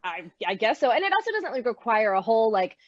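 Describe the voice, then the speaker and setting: A woman with a fairly high voice hosts a casual, chatty interview. The sound is dry and somewhat dull, like lo-fi call audio. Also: sing-song, fast talker, no echo. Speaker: moderator. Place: remote video call.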